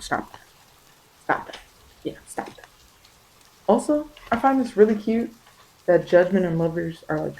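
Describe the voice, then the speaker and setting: Dark, mellow-voiced woman reading tarot. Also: sits low, vocal fry, rough recording. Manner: informal, repetitive, emphatic coaching. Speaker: tarot reader. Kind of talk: intuitive spoken tarot reading for ASMR.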